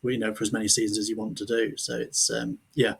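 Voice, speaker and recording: Husky-voiced man hosting a podcast. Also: fairly low pitch, level tone, fast talker. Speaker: podcast host. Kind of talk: casual interview.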